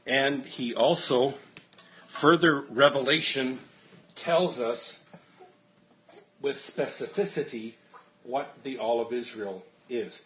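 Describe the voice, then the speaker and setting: A man's voice: mid-range voice, slow, long pauses, fairly bright tone, rough recording. Pastor losing his voice. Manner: earnest, explanatory, answers questions. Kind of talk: Bible study teaching.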